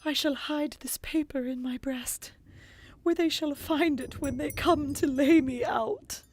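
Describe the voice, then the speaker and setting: A woman playing a frightened, despairing young gentlewoman in an audio drama, moving between breathy whispers and tearful cries. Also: high voice, measured pace, a little hiss or hum. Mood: tearful resignation.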